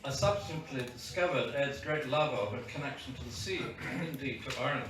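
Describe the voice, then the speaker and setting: A man introduces a guest speaker at a launch in a measured, formal way. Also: mid-range voice, a bit bright. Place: event hall with audience. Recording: echoey hall recorded from far off.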